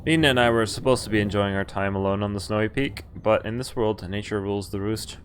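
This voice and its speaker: A man's voice: lowish voice, fast talker, a little hiss or hum. A man streaming a game, reading its story text aloud casually, stumbling over the odd word and breaking off to comment. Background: game music and sound effects.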